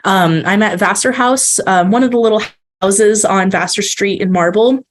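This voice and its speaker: A woman with a stuffy, congested voice walks listeners through a presentation in a loose, friendly manner. She speaks at a middling pitch and often pauses on ums and restarts her sentences. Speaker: accommodations specialist presenting. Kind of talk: informal webinar presentation.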